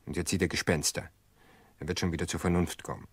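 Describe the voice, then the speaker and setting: An actor playing a calm brother-in-law in a radio drama, soothing and dismissive in a measured way. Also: male voice, low voice, breathy edge, no room sound. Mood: reassuring. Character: calm, reassuring brother-in-law, reasoning away suspicion.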